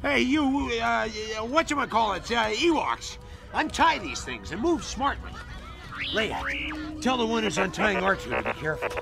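Casting: wry, impatient rogue general; lively swings of pitch, creaky edge, radio play. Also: male voice, moderate pace, heavily acted. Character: cocky, sardonic male smuggler turned rebel general. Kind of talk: radio drama acting. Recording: music behind radio drama dialogue.

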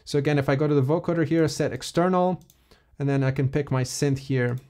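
A man giving a casual, explanatory software walkthrough as a music production tutorial presenter. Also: a little high, brisk.

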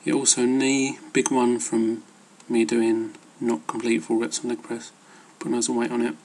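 A man's voice: medium pitch, monotone, normal speed, darkish tone. A man giving casual, rambling advice as an amateur fitness vlogger, hesitant and loosely worded.

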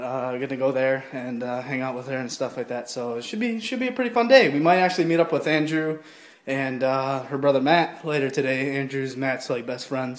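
A young man vlogging casually to his phone camera, rambling with lots of fillers and restarts. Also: mid-range voice. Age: young adult. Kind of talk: casual vlog chat to camera. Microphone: phone at arm's length.

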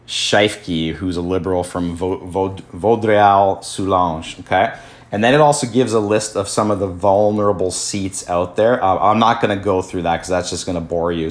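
A man from Canada hosting a podcast, reading news articles aloud and commenting in a halting way full of fillers, his voice slightly worn. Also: low voice. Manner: halting, many fillers and restarts. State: losing his voice. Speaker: podcast host.

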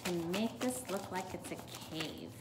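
A woman speaks at an unhurried pace, in a deliberate teaching manner suited to picture books and crafts. Her pitch is ordinary for a woman. The room rings around her voice, with clear background noise. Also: expressive, echoey room. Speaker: teacher reading a picture book aloud. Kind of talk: storybook read-aloud and craft demonstration. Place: home kitchen, indoors.

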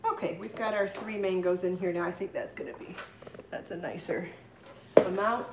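Middle-aged lady cooking live at home, chatty, talks while she chops, echoey kitchen w knife clatter. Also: medium pitch. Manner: chatty, explains as she chops, answers viewer questions. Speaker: cooking show host. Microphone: fixed camera across the kitchen counter. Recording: echoey kitchen with chopping noise. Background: knife chopping on a cutting board.

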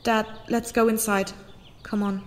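Woman as a high-voiced, matter-of-fact phantom daughter. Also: flat delivery, unhurried, in a large hall, slight background noise, lightly acted. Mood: gently coaxing. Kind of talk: sci-fi audio drama acting.

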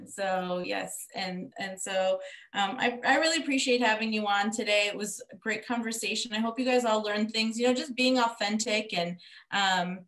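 A woman's voice: medium pitch, normal speed, a bit bright. Woman in her thirties whose first language is Greek, hosting a casual webinar over a video call. She talks in a friendly, rambling way, searching for words, and her voice rings in a roomy, echoey space. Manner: friendly, rambling, lots of fillers. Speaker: webinar host.

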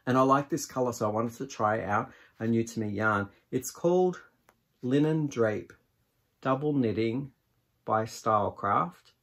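A man in his forties giving a casual product review to camera, unhurried and musing as he thinks aloud. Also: mid-range voice, fairly bright tone. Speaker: yarn vlogger, host. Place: small indoor craft room with shelves of yarn.

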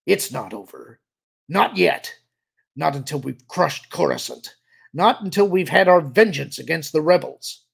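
Fanatical, vengeful military major, acted by a male narrator. Agitated, sneering, insistent, gravel in the throat, forceful and loud. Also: fairly high pitch, measured pace, pitched above the performer's own voice, full character voice. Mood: snarling, sneering.

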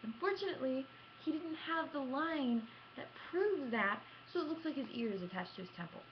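A woman's voice: sits high, animated, normal speed. Young woman vlogging at home, chatty n dramatic, kinda self-deprecating, dull lo-fi webcam sound w some background noise. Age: young adult. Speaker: gaming vlogger. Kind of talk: casual chat to camera. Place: small room at home, indoors.

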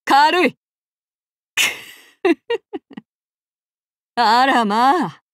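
A high, slow-spoken demon warrior woman in an anime-style game, polite and gentle on the surface but cruel underneath. Her lines come unhurried and dry, with no room around them. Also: lively intonation, no echo, heavily acted. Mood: sweetly menacing. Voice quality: soft, polite yet threatening delivery. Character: cruel demon-blooded female warrior servant, sweetly menacing. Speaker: game character voice.

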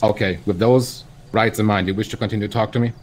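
A man's voice: lowish voice, fairly even intonation, quick pace, a little hiss or hum. A man role-playing a police officer in an online game, talking in informal, procedural street-cop style.

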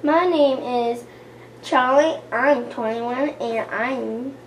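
A woman's voice: high voice, drawn-out words, slight background noise. A young woman voicing a spoiled, rich young girl doll with an airy, drawling manner.

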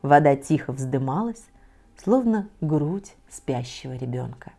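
A middle-aged woman reading a children's fairy tale aloud in a soft, measured way, sometimes sinking almost to a whisper. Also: extremely low pitch, expressive, creaky. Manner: soft, measured, often dropping to a near whisper. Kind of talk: gentle children's story reading. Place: cozy living room set with bookshelves, in an armchair.

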